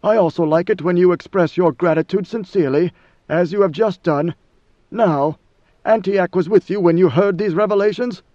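A man's voice: sits high, dark tone, no room sound, muffled recording, natural voice. A man voicing an imperious god-emperor. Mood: commanding. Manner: measured, lofty, commanding. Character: ancient imperious male god-emperor, coldly commanding.

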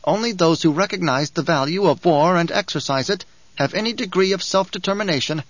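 A man's voice: sits high, a little fast, no echo, dull audio, pitched above the performer's own voice. Bijaz, a man doing a whining, spiteful dwarf. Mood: taunting. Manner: whining, giggling, taunting. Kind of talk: audiobook character reading.

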